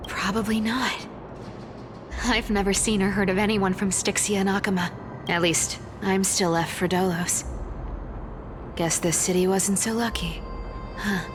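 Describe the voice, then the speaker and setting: A woman's voice: mid-range voice, close to an everyday voice. A woman playing a sly, carefree young female thief in a video game, speaking in a wry, teasing and nonchalant way. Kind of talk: video game character dialogue.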